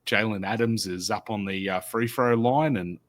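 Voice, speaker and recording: A man in his thirties with an Australian accent, co-hosting a casual podcast chat as a loud, animated storyteller over a desk mic on a video call. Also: fairly low pitch, normal speed. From Sydney, Australia. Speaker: podcast co-host. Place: home studio.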